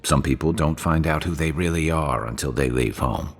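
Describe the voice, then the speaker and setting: An actor playing a measured, wry, musing companion in a video game. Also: male voice, a bass voice. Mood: reflective. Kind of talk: video game cutscene dialogue. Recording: long echoing reverb, music behind. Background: game music.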